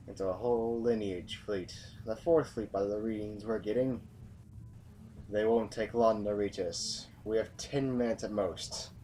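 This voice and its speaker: A man's voice: lowish voice, darkish tone, recorded from a distance, lightly acted. A man playing a blunt, commanding, battle-hardened rebel general in a science fiction audio drama. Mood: urgent, businesslike. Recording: noisy amateur recording, off the mic.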